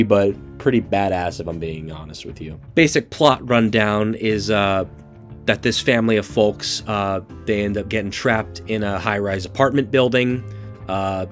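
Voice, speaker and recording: Congested 18-year-old man, casual reviewer. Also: on the low side. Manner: casual and profane, with frequent fillers and restarts. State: congested from allergies. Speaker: movie review host. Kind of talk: casual spoken movie review.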